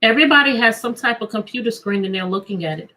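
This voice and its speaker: A woman teacher reading a picture book aloud to children, animated and coaxing, often repeating questions to draw out answers. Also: fairly high pitch, quick pace. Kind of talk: interactive children's read-aloud.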